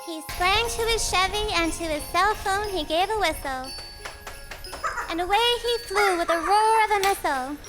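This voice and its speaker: Very high-voiced woman reciting verse. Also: moderate pace. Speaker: narrator. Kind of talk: dramatic storybook verse recitation. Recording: loud music over the voice. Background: loud tinkling music.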